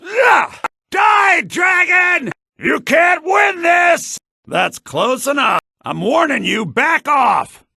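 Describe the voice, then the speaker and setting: A sly, grasping merchant from a fantasy game, with a gravelly, husky male voice pitched high. His delivery is theatrical and swings widely in pitch. The audio is a compressed, low-bitrate game rip. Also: big pitch swings, slow pace, sharp tone, dry recording, shouting, clean studio sound, exaggerated voice. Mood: alarmed, angry. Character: sly fantasy male shopkeeper, grasping, quick to yell at thieves. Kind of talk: video game character barks.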